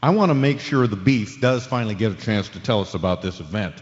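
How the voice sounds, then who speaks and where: A man's voice: fairly low pitch, animated, quick pace, natural voice. A man playing a petulant, sports-obsessed talk radio host in a live-show audio drama. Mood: upbeat. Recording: dull lo-fi audio with long reverb.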